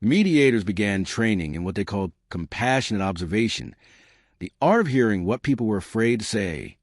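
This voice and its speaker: Male sci-fi audiobook narrator. Low register, lively intonation, dry close sound. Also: no echo. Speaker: story narrator. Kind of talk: scripted audiobook-style science fiction narration.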